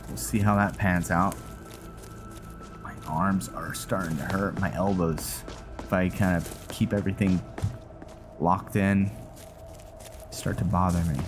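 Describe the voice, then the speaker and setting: A man giving casual, rambling gameplay commentary, halting often as he thinks aloud. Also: deep voice. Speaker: gaming video host. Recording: music behind the voice. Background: game music.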